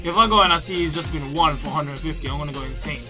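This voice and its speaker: A young man, giving loud, excitable, sweary commentary over a game, his voice going hoarse. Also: a little high, very fast. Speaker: gaming YouTuber. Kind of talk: excited pack-opening commentary. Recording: game music playing behind.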